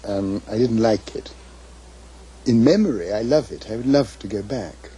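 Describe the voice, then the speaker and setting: An older man with an English accent, reminiscing and reading letters aloud in a measured, emphatic, literary way. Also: fairly low pitch, dark tone, slightly rough. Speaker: author's son giving reminiscences and readings.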